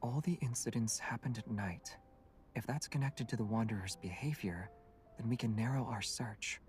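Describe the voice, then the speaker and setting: An actor playing a calm, gentle young companion in a romance game, speaking softly and with measured composure. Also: male voice, medium pitch, soft background music, lightly acted. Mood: calm, thoughtful.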